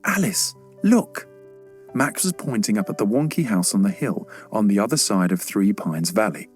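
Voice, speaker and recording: A male audiobook narrator reads a children's story in a fairly low voice. The delivery is scripted storytelling, with faint music underneath. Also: expressive, a little fast, darkish tone.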